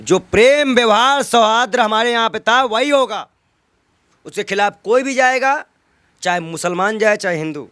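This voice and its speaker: Male interviewee. High voice, dry sound, combative and emphatic political argument. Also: normal speed, a bit bright, no echo. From North India. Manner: argumentative, emphatic, with rhetorical questions and repetition.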